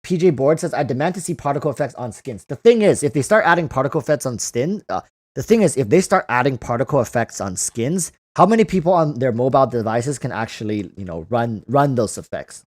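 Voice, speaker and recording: A man's voice: medium pitch, lively intonation, brisk. A man hosting a casual livestream, reading out and answering viewer comments, often repeating phrases as he thinks aloud. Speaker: livestream host.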